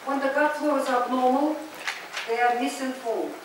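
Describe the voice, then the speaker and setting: A woman's voice: sits high, far from the mic, background noise. Woman lecturing in an echoey hall. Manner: measured, pauses after each sentence. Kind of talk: lecture delivered in short phrases for an interpreter. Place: lecture hall at a business school.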